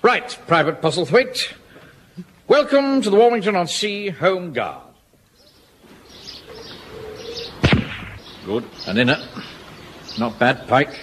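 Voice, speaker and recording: A self-important English officer blusters through every line. His voice rises and falls in lively swings. It comes from an old radio sitcom with steady hiss behind it. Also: on the high side, unhurried. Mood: commanding. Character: pompous middle-aged male Home Guard captain. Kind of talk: 1970s radio sitcom acting. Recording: old radio broadcast, audience laughter, hiss.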